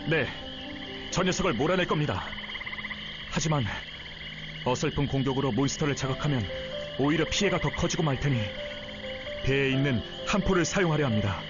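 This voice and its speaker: Guy playing a resolute young teacher in a game, polite but firm, animated, loud music behind him. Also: medium pitch, moderate pace, pauses often, natural voice. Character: earnest resolute young male teacher and fighter. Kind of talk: dramatic Korean game voice acting. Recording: muffled game audio under loud music.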